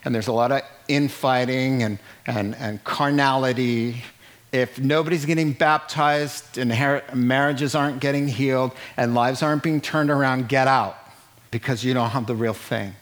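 A middle-aged man preaching a sermon in an animated way, acting out the people he quotes and laughing at his own jokes. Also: mid-range voice, normal speed. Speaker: pastor.

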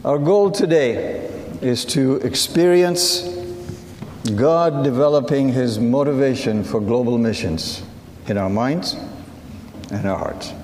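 A man whose first language is Assyrian, preaching a sermon in a measured, unhurried way and inviting listeners to read along. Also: medium pitch, a little mellow, a little hiss or hum. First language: Assyrian (Syriac). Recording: echoey hall with long reverb and faint ambience.

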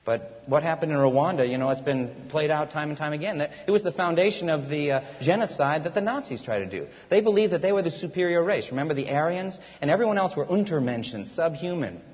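A man's voice: on the high side, expressive, quick pace, hardly any pauses. Earnest male preacher in a reverberant hall. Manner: earnest, emphatic, rhetorical questions. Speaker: pastor. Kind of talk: sermon. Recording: dull lo-fi church hall recording.

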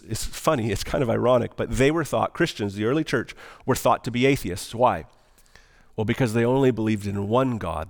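A man's voice: mid-range voice, in a large hall. A man preaching a sermon as pastor, earnest, putting questions to the congregation. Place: church auditorium.

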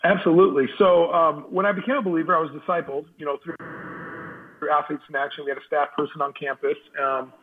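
Man, podcast guest, relaxed storyteller, husky voice, tinny phone-line audio. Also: on the high side, fast. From Southern California. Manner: relaxed, friendly, easygoing storyteller. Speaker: team chaplain, podcast guest. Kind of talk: casual interview storytelling. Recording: remote call with tinny phone-like sound.

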